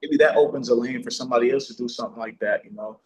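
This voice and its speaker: A man's voice: medium pitch, very fast, poor audio. A man talking casually as an actor being interviewed, with slangy, sweary, bro-heavy phrasing. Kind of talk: casual chat.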